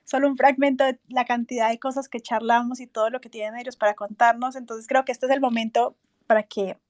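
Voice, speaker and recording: A woman with a somewhat high voice moderates a discussion. She interviews her guests in a conversational way. The sound is dry, with hardly any room in it. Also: dry recording. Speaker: host, moderator.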